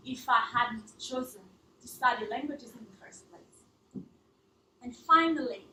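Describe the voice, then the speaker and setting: Woman, Italian accent, language teacher, measured delivery, bright tone, faint room ambience. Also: high-pitched, slight background noise. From Italy. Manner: measured, earnest, with small non-native slips. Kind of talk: storytelling talk to a live audience. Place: theatre stage. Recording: echoey hall, mic at a distance.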